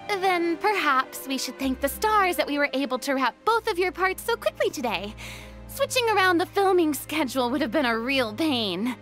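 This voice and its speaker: A woman's voice: high-pitched, lively intonation, normal speed, a bit bright. A woman playing an effusive, dramatic young female director in a video game, theatrical in delivery, with music behind her. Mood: breezy, relieved.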